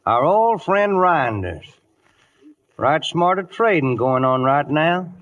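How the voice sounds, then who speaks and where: An actor playing a laconic, rustic cavalry scout sergeant in a 1950s radio drama, speaking tersely. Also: male voice, on the high side, animated, slow pace, fairly bright tone. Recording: dull vintage radio recording.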